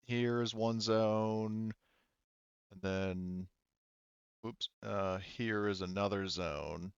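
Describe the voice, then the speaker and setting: Man, game master, lowish pitch, clean smooth tone, casual table talk, dull dry recording. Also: steady tone, drawn-out words, no room sound.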